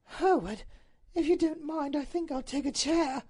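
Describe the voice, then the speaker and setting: A woman voicing an anguished, highly strung duchess in a storybook reading, pleading and breathless in a melodramatic way. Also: moderate pace, a little mellow, lightly acted. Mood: shocked.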